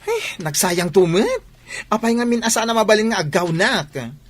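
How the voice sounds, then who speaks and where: Young bride-to-be played by an actress for radio drama. Affectionate, slightly petulant, middle register. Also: female voice, mid-range voice, sing-song, normal speed. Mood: fond, fussing.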